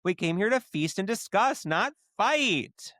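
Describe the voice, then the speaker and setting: Man putting on a high, pouty girl mascot. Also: lively intonation, measured pace, bright, forceful tone, no echo, clean studio sound, full character voice. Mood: pouting, cross. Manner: pouting, scolding. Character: pouty, cross young female fast-food mascot.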